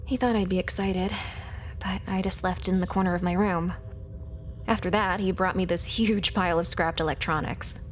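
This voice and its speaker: A woman's voice: lowish voice, fairly even intonation, moderate pace, a little hiss or hum, lightly acted. An actress playing a clever, proud young female hacker, telling a reflective story as a video game monologue. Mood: reminiscing. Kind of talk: scripted game character monologue.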